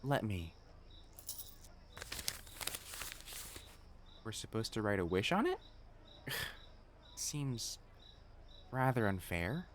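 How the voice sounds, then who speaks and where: A man's voice: lowish voice, big pitch swings, slow, slight background noise. Man playing a prim, devoted fiancé, crickets faintly behind. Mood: gentle, wry. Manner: formal, stammering when flustered, earnest. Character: flustered, devoted, prim young male matchmaker fiancé. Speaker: voice actor in romantic audio roleplay. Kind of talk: intimate scripted romance roleplay acting.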